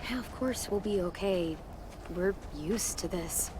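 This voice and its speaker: A tough teenage girl played by a woman in a survival game drama, with a medium-pitched voice. She is guarded, weary and protective. There is noticeable ambient noise around her. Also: a little slow, natural voice. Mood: weary, reassuring. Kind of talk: naturalistic video game drama acting.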